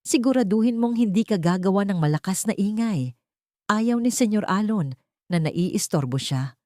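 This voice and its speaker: A woman narrator with a Filipino accent voicing a stern, controlling mansion woman, calm but steely and commanding. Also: mid-range voice, normal speed, dry recording, studio quality, natural voice. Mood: cold, commanding.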